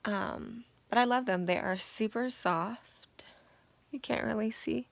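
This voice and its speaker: Raspy young woman chatting casually. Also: on the high side, slow pace, no echo. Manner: chatty, relaxed and informal, with many fillers. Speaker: beauty vlogger. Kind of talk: casual chat to camera.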